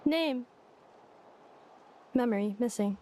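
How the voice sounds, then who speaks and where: A woman's voice: fairly high pitch, lively intonation, slow pace, warm tone. A woman voicing a polite humanoid maid robot in a video game, speaking in formal, clipped robotic phrases. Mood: mechanical.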